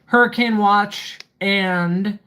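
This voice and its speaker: A livestream host with a mid-pitched voice. The delivery is casual but methodical, listing each item and then adding a short remark, as in a hands-on product walkthrough. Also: steady tone, extremely slow, bright, forceful tone. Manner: methodical, reading items aloud then commenting. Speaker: livestream host reviewing gadgets.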